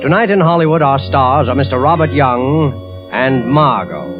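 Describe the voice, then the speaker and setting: A man announcing a 1940s radio thriller from a script in an ominous, deliberate way. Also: medium pitch, animated. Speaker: radio show announcer and host. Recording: dull 1940s radio recording, organ music.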